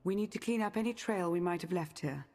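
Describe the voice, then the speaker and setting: An actress voicing a composed, analytical woman in a video game, with a measured, precise way of talking. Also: fairly low pitch, darkish tone, natural voice.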